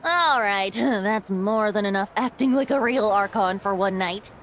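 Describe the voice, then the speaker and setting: A woman voicing a playful youthful male bard who is secretly a god, with a light boyish voice and a gentle, teasing manner in a video game. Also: mid-range voice, a little slow, slight background noise, natural voice.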